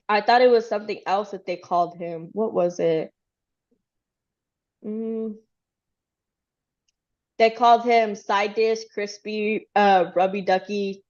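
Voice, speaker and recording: A woman talks loosely through a fan recap, full of "uh"s and "like"s. She grew up in Texas and speaks at an ordinary, mid-range pitch. The sound is a bit dull and lo-fi. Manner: chatty, rambling, lots of fillers. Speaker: fan channel host. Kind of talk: casual recap of a livestream.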